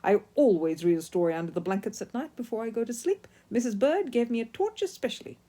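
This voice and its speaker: A woman's voice: on the high side, animated, fast, warm tone, voice pushed up. A woman voicing a polite, earnest young male bear who is easily indignant, in a children's storybook. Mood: indignant, puzzled. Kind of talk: children's storybook character reading.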